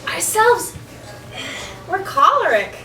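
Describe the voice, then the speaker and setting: A woman playing a blustering, shouting lord. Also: very high voice, very animated, takes it slowly, heavy background noise, heavily acted. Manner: shouting, laughing between lines. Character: blustering, bossy male lord shouting at servants. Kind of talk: amateur stage acting of verse. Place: stage before a live audience. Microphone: distant room mic. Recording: echoey, distant hall recording with loud crowd.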